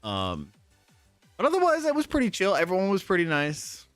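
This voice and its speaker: Animated, laughing man telling stories. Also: high voice, moderate pace, no room sound, soft background music. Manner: animated storyteller, laughs often, acts out people he quotes. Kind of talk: casual interview chat.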